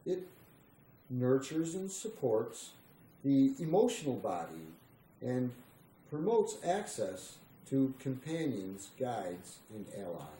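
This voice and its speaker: Male reader for spiritual text, slow and meditative delivery, roomy sound with slight background noise. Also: medium pitch, very animated, mellow. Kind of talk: slow meditative scripted reading.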